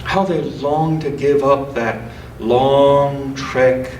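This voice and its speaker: A man speaks as a reader in church, moving between reading aloud and informal commentary. His delivery is unhurried and reflective, with careful, measured phrasing. The sound is roomy and somewhat distant, with a faint layer of noise. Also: mid-range voice, steady tone, a little mellow. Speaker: church scripture and poetry reader.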